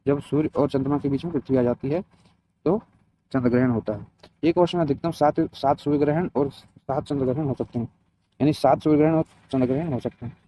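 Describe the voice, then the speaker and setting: A man's voice: mid-range voice, a little fast. A man teaching a geography lesson, reading from notes and adding short instructional explanations. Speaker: geography teacher for competitive exam students. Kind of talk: scripted lesson read aloud with short explanations. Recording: dull, lo-fi, muffled sound.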